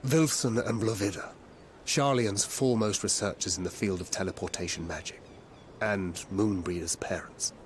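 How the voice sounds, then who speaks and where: An actor playing a wry, courteous young scholar-adventurer in a video game, measured and articulate, gently teasing. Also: male voice, lowish voice, fairly even intonation, slight background noise, natural voice. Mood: wry, warm. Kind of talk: scripted video game acting. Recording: music behind the voice.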